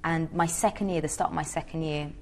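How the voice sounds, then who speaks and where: A woman's voice: low voice, steady tone, a little fast, a little mellow, slight background noise. A young woman from Georgia answering interview questions in a chatty, hesitant way with many fillers. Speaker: interviewee, singer.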